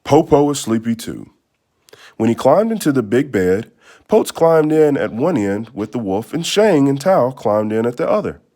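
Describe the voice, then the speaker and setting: A man reading a children's storybook aloud in expressive narration. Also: mid-range voice, moderate pace. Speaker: storybook reader.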